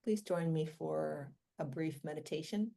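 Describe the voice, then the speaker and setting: A woman leading a guided meditation in a gentle, unhurried manner, with long pauses between short phrases. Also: low voice, gravelly.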